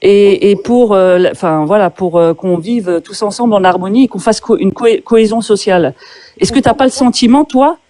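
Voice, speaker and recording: A French-born woman interviewer asking pointed questions in a debate, speaking haltingly with many fillers and restarts. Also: medium pitch, mellow. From France.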